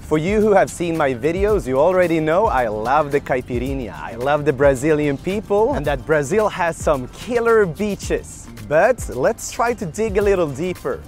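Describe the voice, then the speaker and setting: An enthusiastic man hosting a travel vlog, filming himself at arm's length outdoors. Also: fairly high pitch. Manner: upbeat and enthusiastic. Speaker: vlogger host. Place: outdoors on a sunny beach and park. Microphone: selfie camera at arm's length.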